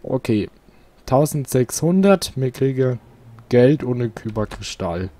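Chatty let's-play host, mellow voice. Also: male voice, medium pitch, very animated, measured pace. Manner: chatty, talks to viewers, asks for likes. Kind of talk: casual gameplay commentary.

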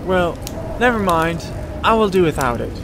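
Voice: mid-range voice, very animated, moderate pace. A performer playing a meek, naive little man, humble and earnest, in an audio storybook drama. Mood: obliging. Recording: faint background noise.

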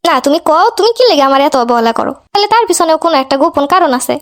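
A woman's voice: high voice, expressive, racing. A woman playing a neglected young wife in an amateur cartoon, sarcastic and scolding, with a Bangladeshi Bengali accent. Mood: defiant.

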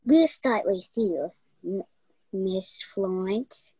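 A woman playing a cool, condescending investigator in a mystery radio play, deliberate and measured. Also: medium pitch, warm tone, husky, no room sound, rough recording. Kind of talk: stage-style mystery radio play acting.

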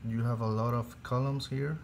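A man with a Spanish accent, Spanish his first language, teaching an electrical calculation slowly step by step, halting and restarting phrases as he searches for English words. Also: medium pitch, flat delivery, slight background noise. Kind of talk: slow step-by-step tutorial.

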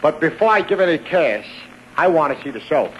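An actor playing a good-natured, dim ex-boxer with slangy, guileless talk, in 1940s radio comedy style. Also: male voice, on the high side, very animated, brisk, lo-fi sound. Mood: stubborn. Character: good-natured, dim, punchy ex-boxer who loves his own jokes. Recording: noisy vintage radio broadcast recording.

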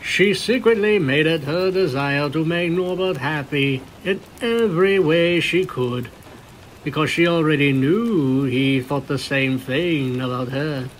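A man's voice: on the high side, a little slow, slight background noise, poor audio. A man narrating a scripted story as a fan fiction reader.

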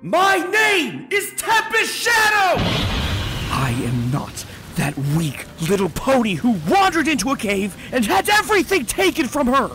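A woman's voice: high voice, huge pitch swings, a little slow, voice pushed up, exaggerated voice. Fierce cartoon unicorn commander, woman performer: female, proud, bitter, boastful, raised and swooping, light music behind. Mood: furious boasting. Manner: boastful, forceful. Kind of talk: comic dub character acting.

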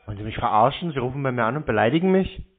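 Deadpan man on a lo-fi call. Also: mid-range voice, racing, soft background music. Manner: deadpan, cheeky. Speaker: prankster answering scam calls. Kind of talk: casual phone banter. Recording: dull lo-fi phone-call recording.

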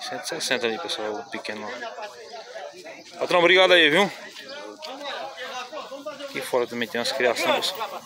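A man with a Northeastern Brazilian accent, filming a livestock fair on his phone and chatting loudly with sellers, calling each one boss. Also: a little high, very animated, normal speed, pauses often. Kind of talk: casual walking commentary. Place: outdoor animal fair, small town. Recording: outdoor crowd noise. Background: crowd and animals.

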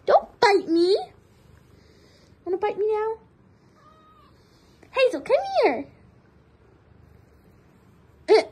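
High-voiced young woman chatting to cats. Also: mellow. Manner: chatty, giggly, coos at her cats in a high baby voice. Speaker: vlogger. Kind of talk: casual barn tour vlog. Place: indoor barn room with cats. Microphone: phone held in hand.

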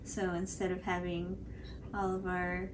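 A woman's voice: fairly low pitch, monotone, measured pace, background noise. A woman in her twenties with an American accent, co-hosting a craft tutorial in a casual, chatty style. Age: 20s. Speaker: craft video co-host. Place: small indoor room at home, on a couch.

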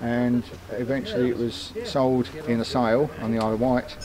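A man's voice: mid-range voice, little pitch movement, normal speed, crowd noise, rough recording. An older man casually explaining his restoration work, recorded outdoors in an open yard. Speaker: steam engine restorer. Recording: loud outdoor yard and vehicle noise.

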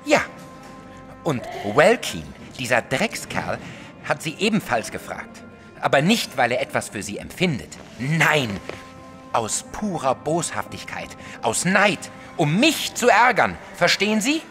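An embittered little artist in a radio play. His fairly high voice swings widely as he defends himself with self-righteous vehemence. Faint music sits behind him. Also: wildly swooping pitch, a little slow, fairly bright tone, heavily acted. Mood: bitter, spiteful. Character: indignant, self-righteous male artist, small and jealous. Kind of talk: German audio drama acting.

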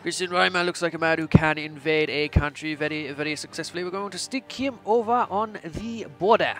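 A young man in his twenties plays game host with a put-on Austrian accent and a rather high-pitched voice. His delivery is theatrical and mock-imperious, like a ruler giving orders. Music plays behind him. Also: brisk, fairly bright tone. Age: 20s. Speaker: gaming channel host. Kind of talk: playful strategy game let's play commentary.

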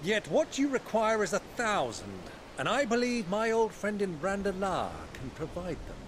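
An actor playing a jovial, grandiloquent middle-aged warrior uncle in a video game cutscene. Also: male voice, high voice, very animated, normal speed, noisy background. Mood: earnest, teasing. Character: hearty, theatrical gray-bearded warrior uncle. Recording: clear sea and ship ambience behind.

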